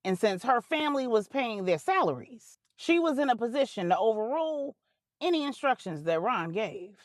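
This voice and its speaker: A woman's voice: on the high side, big pitch swings, moderate pace, dry recording, studio quality. A woman narrating a scripted drama story for adults in a dramatic, colloquial storytelling manner with slangy touches.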